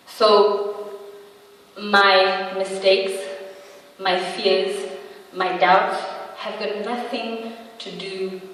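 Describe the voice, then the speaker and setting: Woman in her twenties, echoing hall. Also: mid-range voice. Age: 20s. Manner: chatty, peppers talk with tag questions; humorous, laughs at own jokes. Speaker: motivational speaker. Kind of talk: motivational talk to an audience. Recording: echoey hall, voice from far off.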